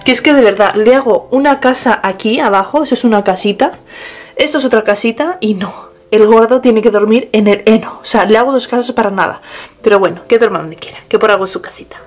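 A woman's voice: on the high side, fast talker, soft background music. A young woman, chatting casually through a home tour, often correcting herself, filmed on a small handheld camera in her bedroom. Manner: chatty, restarts and self-corrections. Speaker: pet vlogger.

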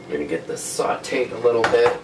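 A man with a cold, hoarse and stuffy, walking through a recipe step by step in a relaxed way with frequent ums. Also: medium pitch, fast talker, background noise. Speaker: cooking show host. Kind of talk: casual cooking demonstration. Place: small home kitchen.

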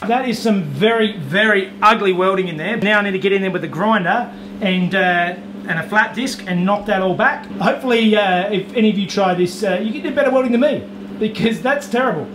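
A man in his thirties with an Australian accent, showing a car body repair in his garage workshop, relaxed and practical with many "uh" fillers. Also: high-pitched, fairly quick, over music. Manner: relaxed, practical, self-deprecating, lots of "uh" fillers. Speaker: DIY car repair host. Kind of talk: hands-on workshop tutorial with voice-over. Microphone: lapel mic.

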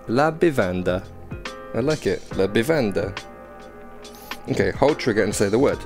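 A man's voice: lowish voice, animated, normal speed, creaky. Chatty young man playing a VR game. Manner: chatty, self-deprecating, reads prompts aloud. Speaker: gaming YouTuber. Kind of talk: first-impressions gameplay commentary. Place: small indoor room playing VR. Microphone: headset mic. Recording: game music behind.

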